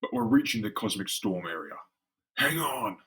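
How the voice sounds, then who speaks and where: A man voicing a gruff, hot-headed pilot in a dramatic comic-book reading, blunt and combative. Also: on the low side, very animated, moderate pace, bright, forceful tone. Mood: grim, alarmed.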